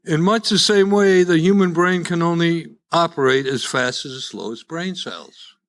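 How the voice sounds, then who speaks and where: A man's voice: fairly high pitch, moderate pace, fairly bright tone. A man in his seventies with a Chicago accent, giving live personal testimony in a wry, folksy, conversational storytelling way. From Chicago, USA. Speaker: guest speaker at a recovery meeting. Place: live in-person meeting before an audience.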